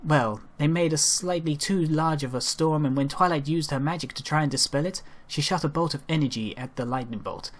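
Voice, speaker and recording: A man voicing a calm, regal female pony ruler who explains things in a slow, formal, measured way. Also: on the high side, lightly acted.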